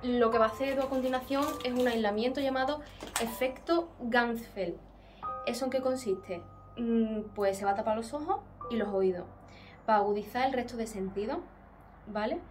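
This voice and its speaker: A woman from a paranormal team explains things to camera in a Spanish accent from Spain. Her voice is on the high side. She is picked up from a little distance, with music clearly behind her. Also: normal speed. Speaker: paranormal investigator presenting to camera. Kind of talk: unscripted explanation to viewers.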